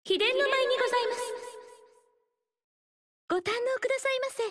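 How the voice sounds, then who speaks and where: A woman voicing a graceful, humble noble dancer in a video game, speaking softly and politely in elegant formal speech. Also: extremely high pitch, steady tone, fairly quick. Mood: courteous. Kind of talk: game character voice lines.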